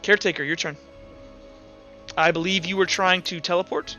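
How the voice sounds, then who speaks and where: A man runs a tabletop game in a high, forceful voice. He talks conversationally, working out rules aloud as he goes. The mic sounds a little lo-fi, with faint music behind him. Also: steady tone, normal speed. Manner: informal, conversational, thinking aloud. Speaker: game master. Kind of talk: casual tabletop game narration and rules talk. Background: soft music.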